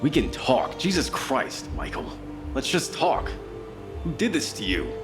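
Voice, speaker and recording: An actor playing a weary, desperate occult detective in a dark noir audio drama, with a wry, dramatic delivery. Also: male voice, mid-range voice, sing-song, measured pace. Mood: pleading. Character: weary, desperate male occult detective narrating his fight. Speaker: lead actor and narrator. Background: music.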